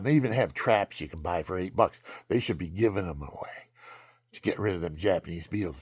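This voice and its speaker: A man telling a rambling personal story in a monologue, jumping between loud outbursts and low mutters. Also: breathy edge, no room sound, clean studio sound. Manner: calls himself low-voiced.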